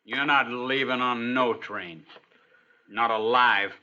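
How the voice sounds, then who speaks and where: A man's voice: medium pitch, steady tone, slow, sharp tone, dull audio. An actor playing a defiant young male outlaw prisoner, surly and taunting, in an old-time radio western. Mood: defiant, threatening.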